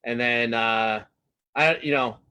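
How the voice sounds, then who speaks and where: A man's voice: medium pitch, slow, sharp tone, smooth. A man speaking as an interview guest in casual chat, often rambling, repeating himself and correcting his phrasing as he goes. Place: live online video conversation.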